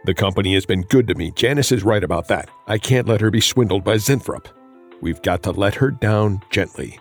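An actor playing an earnest, loyal company man in a satirical audio drama, measured in manner. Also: fairly low pitch, soft background music.

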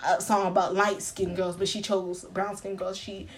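A woman's voice: mid-range voice, level tone, moderate pace. A woman in a casual, opinionated chat, talking emphatically and conversationally with plenty of slang. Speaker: co-host or panelist.

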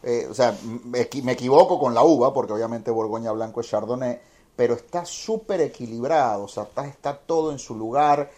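Man, wine show host, from puerto rico, relaxed, jokey. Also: medium pitch, brisk. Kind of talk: casual interview chat.

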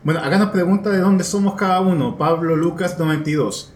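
A man's voice: high voice, a little fast. A Chilean man hosting a casual podcast, chatting in loose slangy banter with laughs. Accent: Chilean Spanish. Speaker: podcast host. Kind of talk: casual chat. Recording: echoey room with faint noise.

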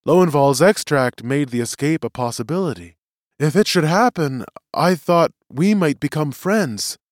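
A man performs an earnest fantasy prisoner with a lightly raised voice. He sounds gentle and sincere as he reasons his case in a storybook court. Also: a little high, lively intonation, normal speed, no room sound, studio quality, voice pushed up, lightly acted. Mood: sincere, pleading. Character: earnest, gentle male prisoner sympathetic to humans.

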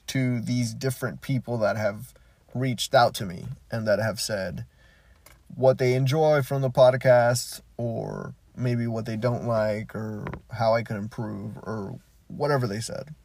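Man, native spanish speaker, podcast host, gravelly voice, slow unhurried delivery, rambling. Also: medium pitch, a little mellow, no room sound. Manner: rambling, restarts often, laid-back. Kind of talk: casual solo podcast monologue. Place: parked car.

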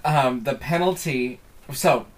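A man's voice: mid-range voice, low-quality recording. A man co-hosting a podcast, teasingly explaining a game penalty in a casual tone. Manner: teasing, deliberate rule-setting. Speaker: podcast co-host.

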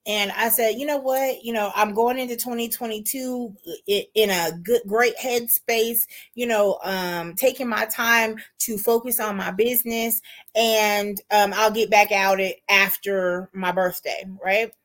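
A woman's voice: mid-range voice, steady tone, measured pace, fairly bright tone. A self-assured woman in her early forties talks through her own story in a casual chat. She keeps checking in with her listener as she goes. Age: 40s.

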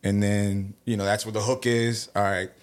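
A man co-hosting a podcast, telling stories in casual chat, often trailing off quietly. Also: level tone, moderate pace. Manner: laid-back storytelling, trailing into low mumbles. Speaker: podcast co-host.

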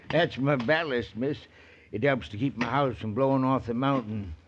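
An actor playing a lonely, unschooled mountain hermit in a 1940s radio drama, with an American accent and folksy, ungrammatical speech. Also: male voice, medium pitch, moderate pace, natural voice. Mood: slow, matter-of-fact. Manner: folksy rustic speech with poor grammar. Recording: muffled, hissy 1940s radio recording.

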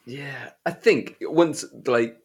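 A man's voice: medium pitch, slow pace, breathy edge. A man from Derbyshire with an East Midlands English accent, chatting informally as a podcast host about a book. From Derbyshire, England. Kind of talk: casual book discussion chat.

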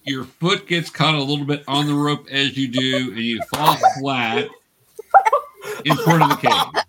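A man's voice: mid-range voice, a little slow, pushed and bright, slight background noise. A man acting as game master, patiently narrating and explaining the rules of a tabletop role-playing game to beginners.

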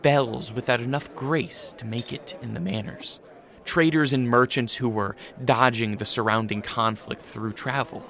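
A man's voice: medium pitch, fairly even intonation, moderate pace, a bit bright. A man reading scripted narration as the narrator of a story.

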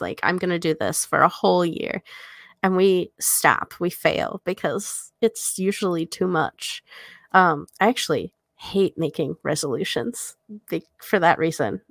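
A woman co-hosting a podcast, casually reflecting on a book and pausing between phrases as she thinks. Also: lowish voice, vocal fry, fairly bright tone, no echo, studio quality. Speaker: podcast co-host. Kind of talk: casual book commentary discussion.

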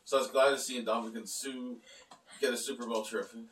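A man's voice: medium pitch, level tone, fairly quick, fairly bright tone. An adult man co-hosting a casual home podcast, chatty and easygoing as he steers the topics. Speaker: podcast co-host. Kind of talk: casual family podcast chat. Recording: roomy, distant mic, faint hiss.

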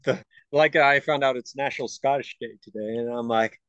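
A man's voice: mid-range voice, steady tone, normal speed, clear, resonant tone, no echo, lo-fi sound. A young man as a podcast guest in casual fan chat, talking enthusiastically in fragmented phrases. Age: young adult.

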